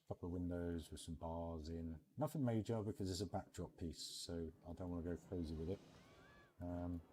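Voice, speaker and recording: A man's voice: low-pitched, warm tone, slight background noise. A man giving a casual game walkthrough commentary, rambling and thinking aloud as he goes. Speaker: video creator showing his game park build.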